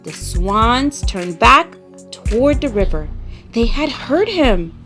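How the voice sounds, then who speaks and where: A woman tells a children's story in a dramatic, emphatic read-aloud style. Her pitch moves widely and her pace is unhurried. Soft music plays behind the narration. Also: big pitch swings.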